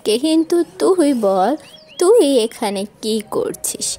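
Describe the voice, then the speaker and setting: A woman's voice: high-pitched, sing-song, takes it slowly, mellow, full character voice. A woman voicing an old, hardworking village aunt in a cartoon, chatty and plaintive. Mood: curious, grumbling. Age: older. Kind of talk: Bengali moral-story cartoon dialogue.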